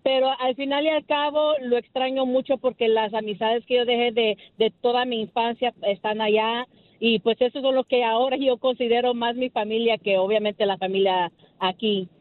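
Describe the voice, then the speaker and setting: A woman's voice: on the high side, fairly even intonation, moderate pace, no echo. A woman from the northeastern United States calling a radio show by telephone, chatting casually about her own life. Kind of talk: casual personal conversation. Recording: tinny, narrow phone line.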